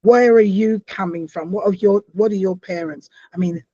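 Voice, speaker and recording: Woman podcast host from South America, halting speech with restarts, husky voice, dry muffled sound. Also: medium pitch, no echo. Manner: halting, repeats words, animated exclamations. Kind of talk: conversational interview.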